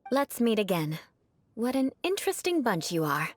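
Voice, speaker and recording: A woman's voice: fairly high pitch, sing-song, normal speed. A woman playing a proud young noblewoman knight in a video game, aloof, formal and teasing. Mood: composed, polite.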